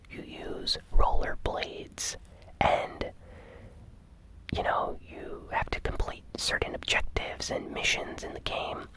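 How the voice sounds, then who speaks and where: A host giving ASMR gaming news commentary in a breathy, unvoiced whisper, soft, unhurried and informal. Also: poor audio.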